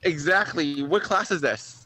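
A man's voice: fairly high pitch, unhurried, pushed and bright, no echo, rough recording. A man chatting casually as a caller. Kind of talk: casual chat.

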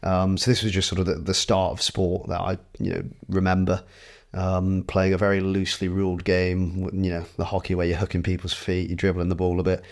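A man's voice: deep voice, a little fast. A young man born in Scotland, with no Scottish accent, chatting easily as a podcast guest with lots of ums and dry self-mockery. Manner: relaxed, self-deprecating, many ums. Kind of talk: casual interview chat.

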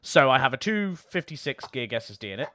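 A young man with a British accent talks in a casual, self-deprecating way as a podcast co-host. His medium-pitched voice sounds a little strained. The sound is dry, with no room on it. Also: very animated, a little fast, pushed and bright, no echo. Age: young adult. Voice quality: slightly worn, tired voice. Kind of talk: casual tech chat.

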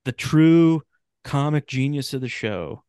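A man chatting casually as a podcast guest, halting and repeating words as he goes. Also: mid-range voice, lively intonation, dark tone, clear, resonant tone, no echo, clean studio sound. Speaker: podcast guest, writer of radio drama. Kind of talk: casual chat.

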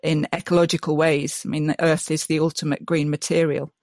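A woman's voice: low voice, flat delivery, brisk, a little mellow, no echo. Enthusiastic woman, dry close sound. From Yorkshire, England. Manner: enthusiastic, restarts often, many ums. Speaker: guest, mud mason. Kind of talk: casual interview chat.

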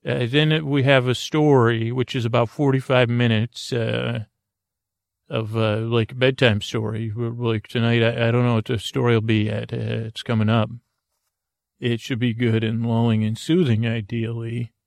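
Middle-aged male podcast host, gravelly voice, dry close sound, rambling and unhurried. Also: mid-range voice, flat delivery, no room sound. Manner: meandering, self-interrupting, soft-spoken. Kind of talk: rambling bedtime podcast intro.

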